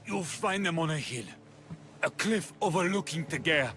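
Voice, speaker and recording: A man's voice: on the high side, animated, normal speed, music in the background, close to an everyday voice. An actor playing a sly, mocking male informant in a video game, with a taunting, theatrical delivery. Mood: smug, ominous. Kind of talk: video game dramatic acting.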